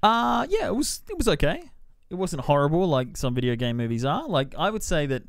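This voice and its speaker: A man co-hosting a podcast, casually giving his film opinions in an offhand, measured way. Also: a little high, big pitch swings, vocal fry, no room sound, clean studio sound. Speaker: film reviewer and podcast co-host.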